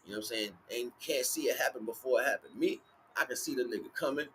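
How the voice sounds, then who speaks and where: Male spiritual livestream speaker, mid-pitched, slangy and profane, emphatic with repetition, slightly noisy recording. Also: fast, a bit bright. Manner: casual street slang with frequent swearing; emphatic, repeats phrases. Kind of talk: rambling motivational spiritual talk.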